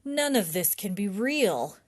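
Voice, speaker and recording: A woman's voice: medium pitch, sing-song, slow pace. A woman voicing a cautious, studious young boy in a children's storybook, doubtful and exasperated in manner. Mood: skeptical. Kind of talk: children's storybook character reading.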